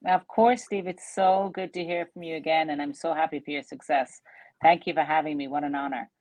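A woman's voice: deep voice, steady tone, brisk, darkish tone, no room sound, low-quality recording. A middle-aged woman, a hotel general manager telling her career story in an interview with enthusiasm. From Ireland. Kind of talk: casual interview chat.

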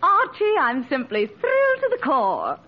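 An actress playing a gushing, affected society matron in a 1950s radio comedy, theatrical and drawn-out. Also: female voice, high-pitched, wildly swooping pitch, heavily acted. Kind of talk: 1950s radio sitcom acting. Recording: slightly noisy muffled old radio recording.

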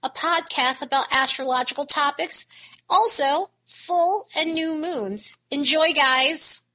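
A woman reading a short podcast intro in a slow, relaxed way. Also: high-pitched, poor audio.

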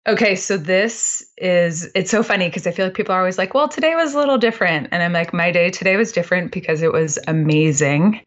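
Brief: thirties woman guest, lower pitch, upbeat and chatty. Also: fast, few pauses, lo-fi sound. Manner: animated, laughs easily. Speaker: podcast guest. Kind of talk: casual chat.

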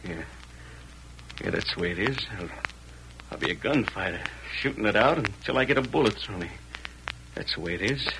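An actor playing a bitter, desperate young cowboy with an American Western drawl and folksy slang in a radio western. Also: male voice, on the low side, lively intonation, fairly quick, long pauses. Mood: bitter, resigned. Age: young adult. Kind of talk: 1940s radio western acting. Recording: noisy vintage radio recording.

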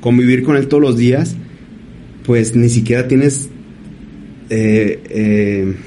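Man, interview guest, reflective storytelling, mellow tone. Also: medium pitch, fairly even intonation, a little hiss or hum, rough recording. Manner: thoughtful, reflective, halting. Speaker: painter, guest.

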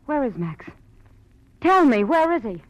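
A woman's voice: sits high, very animated, unhurried, rough recording. A woman acting a distraught, defiant wife in a 1940s radio melodrama, intense and demanding.

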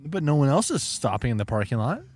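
A man's voice: mid-range voice, very animated, fast, darkish tone, husky. A young man co-hosting a casual podcast from a parked car, dropping wry quips. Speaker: podcast co-host.

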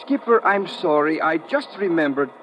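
High-voiced, evasive boat skipper. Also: male voice, animated, normal speed, a bit bright, a little hiss or hum. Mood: evasive, sheepish. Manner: clipped broken English, repetitive and deferential. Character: evasive, obliging Caribbean charter-boat skipper. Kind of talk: 1950s radio drama acting. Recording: dull old radio recording.

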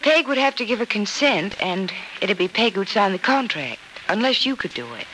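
A woman's voice: medium pitch, very animated, normal speed, lightly acted. An actress playing a level-headed, caring young woman with a polite, earnest manner in a radio soap opera in the style of the 1940s. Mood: earnest, explaining. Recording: old noisy radio recording.

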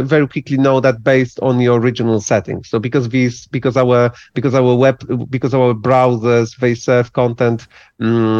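A man, an English speaker, explaining things in a podcast interview in a halting way, full of repeated words and restarts. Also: mid-range voice, darkish tone, no room sound, highs cut off. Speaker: guest.

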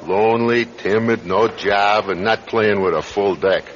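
Man playing a low-voiced, jaded police sergeant. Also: fairly even intonation, unhurried, lightly acted. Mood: matter-of-fact, dismissive. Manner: blunt, world-weary, matter-of-fact. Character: jaded, brusque male New York police sergeant. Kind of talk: radio drama acting. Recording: dull radio recording with background hiss.

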